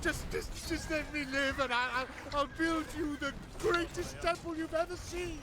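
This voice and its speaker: An actor playing a blustering, cowardly Greek city ruler in a video game, high-strung and theatrical. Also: male voice, steady tone, moderate pace. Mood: panicked pleading. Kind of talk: comic dramatic video game voice acting.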